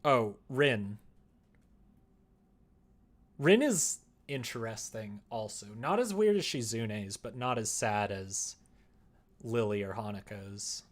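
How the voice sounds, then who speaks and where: A man's voice: lowish voice, expressive, slow pace, pauses often. Casual male streamer, rambling as he explains. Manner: rambling explanations, muttering and humming to himself while working. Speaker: streamer developing a visual novel. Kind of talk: casual livestream chat while working.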